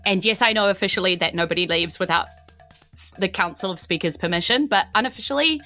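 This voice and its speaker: A New Zealand woman playing an earnest, nervous but determined teenage empress in a tabletop game, speaking haltingly. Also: medium pitch, pushed and bright, soft background music, natural voice. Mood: hesitant, urgent.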